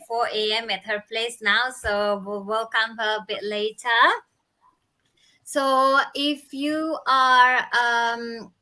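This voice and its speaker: A woman's voice: a little high, sharp tone. A middle-aged woman from Indonesia hosting a live video stream, upbeat and welcoming, with small slips in her English, over a video call.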